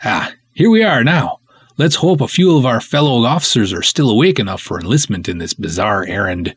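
Comic serial sergeant, acted by a man: formal, pompous doubter, lively intonation, dry recording. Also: medium pitch, a little fast, breathy edge, no echo. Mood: skeptical. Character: stuffy, skeptical male sergeant, old friend of the hero.

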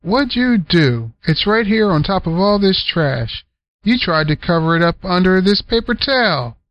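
A man's voice: fairly high pitch, very animated, normal speed, no echo, dull audio, pitched above the performer's own voice. A man voicing a bossy middle-aged woman who scolds and orders people about in a storybook reading. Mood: scolding. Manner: chiding, ordering.

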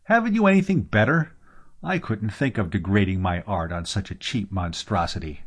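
Defiant slave hero, played by a male narrator: raised pitch, proud and haughty, declaiming in a fantasy audiobook. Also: expressive, moderate pace, lightly acted. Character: proud, bold male slave, quick-witted bluffer. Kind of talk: audiobook character voice.

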